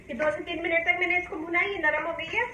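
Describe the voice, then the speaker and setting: High-voiced woman demonstrating a recipe. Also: fast. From Pakistan. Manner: calm, homely explaining, often saying "dekhiye ji". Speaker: cooking channel host. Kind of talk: step-by-step cooking demonstration. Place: home kitchen, indoors. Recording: echoey kitchen with faint hum.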